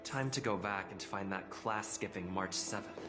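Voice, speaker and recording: A dry-witted male companion played by an actor speaks in an understated way, as in video game dialogue. His voice is fairly low, and loud music runs behind it. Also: moderate pace, close to an everyday voice. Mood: dry, wry. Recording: loud music nearly covering voice.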